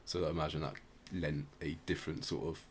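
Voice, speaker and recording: A man with a very low voice gives an informal music history talk as a podcast host. His delivery halts and restarts as he feels for the right word. There is clear background noise on the recording. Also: flat delivery, fairly quick.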